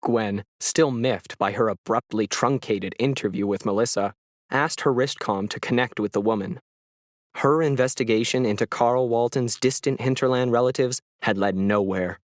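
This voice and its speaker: A man's voice: mid-range voice, moderate pace, no room sound. Male narrator for scripted sci-fi storytelling, mid-range voice, very dry recording. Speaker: narrator of an audio science fiction story.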